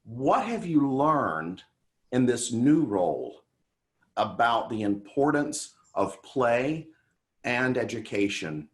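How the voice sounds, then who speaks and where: A man's voice: mid-range voice, sing-song, takes it slowly. A man hosting a conversational TV interview, speaking in an earnest, effusive and affirming way. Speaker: TV show host, interviewer.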